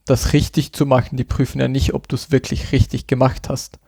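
A man co-hosting a podcast, chatting casually about software and thinking aloud with lots of filler sounds. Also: medium pitch, little pitch movement, fairly quick, gravelly, husky, dry recording. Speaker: podcast co-host. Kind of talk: casual tech chat.

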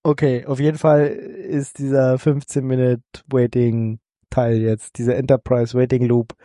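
A man's voice: medium pitch, little pitch movement, a little slow, mellow, dry recording. A man hosting a podcast, moving the casual tech news chat along with dry asides. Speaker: podcast host.

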